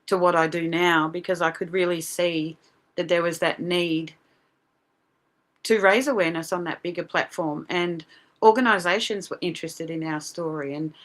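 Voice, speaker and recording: A middle-aged woman with an Australian accent, speaking as a podcast guest in an earnest, animated way with many restarts. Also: lowish voice. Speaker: guest, parent advocate. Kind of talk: casual podcast interview.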